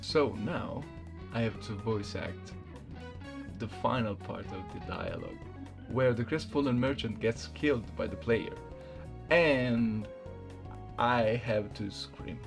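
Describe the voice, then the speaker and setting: A soft-spoken man talking casually and haltingly to his viewers as an amateur voice actor presenting a video. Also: medium pitch, gravelly.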